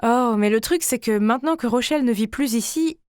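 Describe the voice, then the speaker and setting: A woman narrates a scripted animated story in the first person. Her voice sits fairly high and she delivers it theatrically and expressively, as a teenage girl would tell her own drama. The sound is very dry, with no room around it. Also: brisk, clean tone, no echo.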